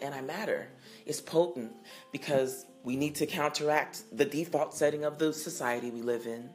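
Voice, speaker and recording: A woman in her forties hosting her own podcast, answering listener messages in an earnest, unhurried, affirming way with a low, husky morning voice. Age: 40s. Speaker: singer-songwriter hosting a call-in podcast.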